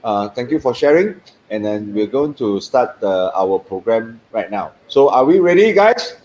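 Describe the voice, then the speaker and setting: A man's voice: medium pitch, very animated, normal speed, darkish tone, slight background noise, poor audio. A man, hosting a casual stream and rambling with lots of fillers and restarts. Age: 50s. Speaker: host. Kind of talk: casual live-stream chat.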